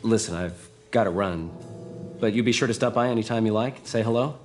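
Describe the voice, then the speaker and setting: A man playing an earnest 1940s investigator in a video game, a little awkward and hesitant, quick to exasperation. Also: fairly low pitch, a little mellow, soft background music, close to an everyday voice. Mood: friendly, awkward. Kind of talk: video game noir dialogue acting.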